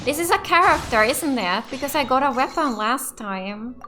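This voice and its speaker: A woman giving casual, excitable live commentary as a gaming host, often repeating short exclamations. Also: high voice, moderate pace, a little hiss or hum. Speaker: gaming let's play host. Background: game music.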